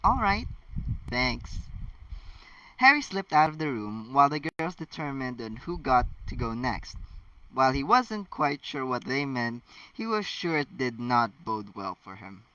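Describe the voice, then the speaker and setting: A man's voice: mid-range voice, very animated, normal speed, pushed and bright, no echo, distant mic, muffled recording. A man hosting a casual story read-aloud, chatty and joking, with rambling asides. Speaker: host of a fan fiction reading channel.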